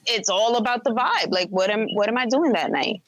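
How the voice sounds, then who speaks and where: A woman who is a guest on a podcast, chatting in a relaxed, unhurried way. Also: on the high side, low-quality recording. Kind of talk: casual chat.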